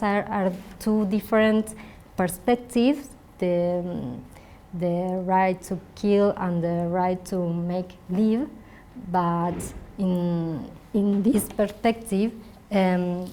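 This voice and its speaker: A woman from Argentina with a Spanish accent, speaking haltingly and searching for words as she gives an academic talk. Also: fairly low pitch, fairly even intonation, dark tone. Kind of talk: academic talk and answering questions. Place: indoor university conference room. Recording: noisy conference room recording.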